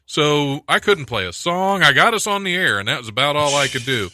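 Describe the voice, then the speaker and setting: A man's voice: fairly high pitch, sing-song, fairly quick, sharp tone, no echo. A man in his forties hosting a college radio show, telling rambling stories and laughing often. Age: 40s. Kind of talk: casual banter on college radio. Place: radio studio.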